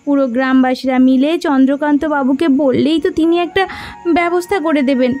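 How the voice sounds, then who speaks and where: Woman acting a nagging village wife, extremely high voice, dramatic, insistent, cartoon fairy tale, music behind. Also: steady tone, a little fast, a little mellow, close to an everyday voice. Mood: anxious, nagging.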